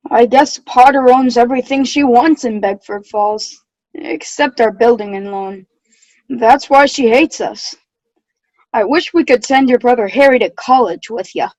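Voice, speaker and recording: A tired, affectionate father, acted by a woman. The voice is somewhat high and dryly recorded, with a gentle, weary old-time radio drama delivery. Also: a little slow, no room sound, muffled recording. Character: tired, kindly father of a grown son.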